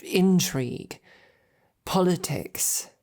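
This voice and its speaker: A devoted female royal knight, acted by a woman in an intimate audio roleplay. The voice is low and soft-edged, moving slowly and haltingly. The delivery is tender and earnest, addressed closely to a beloved princess. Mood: earnest, hesitant. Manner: hesitant, halting, softly earnest. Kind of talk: intimate scripted roleplay monologue to the listener.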